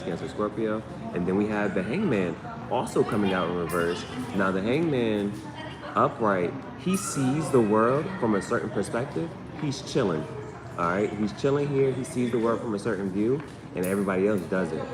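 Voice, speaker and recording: A man giving a casual live tarot reading, conversational and slangy, often repeating his phrases as he thinks aloud. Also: deep voice. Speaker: tarot reader, channel host. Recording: echoey room with loud background noise.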